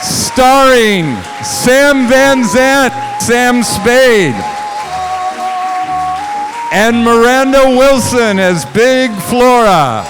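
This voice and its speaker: An American man addresses a live audience as a show's announcer and director. His voice is high and his pace slow. Music and crowd sound run clearly behind him. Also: animated. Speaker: director and announcer of a stage radio play. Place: on stage at a live show.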